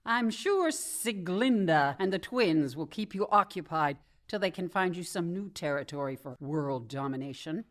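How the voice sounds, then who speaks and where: A woman's voice: lowish voice, lively intonation, unhurried, hardly any pauses. A woman acting a sarcastic, wisecracking career woman who has just been fired, in a comedic radio drama. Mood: bitter sarcasm.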